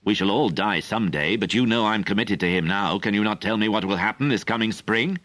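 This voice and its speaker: A man's voice: on the low side, a little fast, fairly bright tone, dry recording, voice pushed up, close to an everyday voice. A man reading an audiobook, voicing a restless, scheming young prince who speaks abruptly and presses hard. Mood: pressing.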